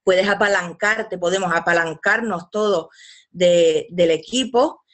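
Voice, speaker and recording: A woman's voice: lowish voice, measured pace. A woman, presenting a business webinar over a video call. She reads slides and often hesitates, filling gaps with "pues" and restarting phrases.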